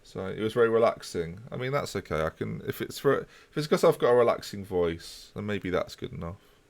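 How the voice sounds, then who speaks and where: Low gravelly-voiced man streaming. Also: fairly quick. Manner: relaxed, chatty, talks to chat while playing. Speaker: game streamer. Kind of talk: casual live-stream commentary.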